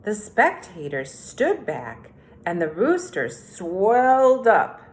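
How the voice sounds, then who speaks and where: A woman speaking as an elementary school teacher, giving a lesson and reading aloud to young children in a deliberate, instructive way. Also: mid-range voice, big pitch swings.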